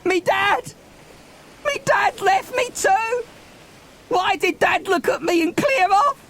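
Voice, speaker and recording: A man's voice: very high voice, takes it slowly, fairly bright tone, pitched above the performer's own voice, exaggerated voice. A man voicing an anguished, pleading young boy in a dramatised children's audiobook. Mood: tearful, anguished. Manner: breathless, pleading, childlike exclamations. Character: anguished, pleading young boy, Milo, abandoned by his dad.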